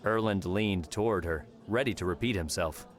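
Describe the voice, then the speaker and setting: A man with a fairly low voice narrates scripted fiction. His storytelling is measured, and faint music plays behind him. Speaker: audiobook narrator. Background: soft background music.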